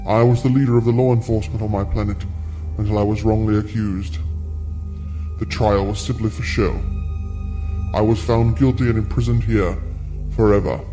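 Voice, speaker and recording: Man playing a mellow, formal, vengeful alien prisoner. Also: fairly low pitch, fairly even intonation, moderate pace, pauses often, faint music behind, close to an everyday voice. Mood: grateful. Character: vengeful ancient male alien prisoner, outwardly courteous, menacing. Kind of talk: audio drama acting.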